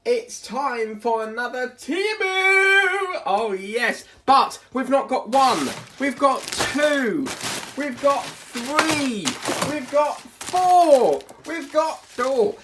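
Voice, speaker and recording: A British man with a croaky, bunged-up voice from a cold, hosting a casual unboxing chat and rambling with lots of ums. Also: very high-pitched, animated, very slow, background noise. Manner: chatty and rambling, many ums. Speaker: crafting channel host.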